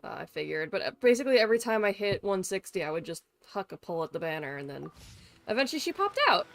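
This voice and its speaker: A woman's voice: medium pitch, big pitch swings, fast talker, creaky, a little hiss or hum. A woman livestreaming a game, chatting in a casual, rambling way with playful teasing and some swearing. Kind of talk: casual gaming stream chat. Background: music in the background.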